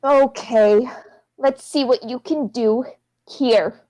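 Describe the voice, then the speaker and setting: A woman's voice: a little high, slow, mellow, low-quality recording. A woman playing a bratty, tough-talking little boy, defiant and slangy, in an old-time radio comedy. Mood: challenging.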